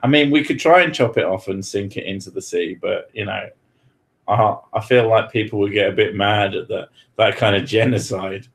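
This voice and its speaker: A man's voice: lowish voice, normal speed, gravelly. A man from Britain chatting casually as host, joking, swearing freely and laughing often. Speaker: podcast host answering questions. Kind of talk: casual chat.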